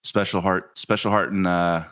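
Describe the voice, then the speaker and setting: A man with a Kentucky accent, chatting casually as a guest on a podcast, relaxed and laughing often, with many fillers and restarts. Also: low voice, moderate pace, clean tone. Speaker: guest photographer. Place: video call from home.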